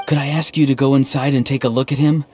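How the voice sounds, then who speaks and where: A man's voice: medium pitch, flat delivery, fast talker, loud music behind the voice, close to an everyday voice. An actor playing an earnest, naive young man in a video game, speaking in a literal, understated way. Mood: earnest, pleading. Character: earnest, naive, deadpan young man, an odd gentle hero.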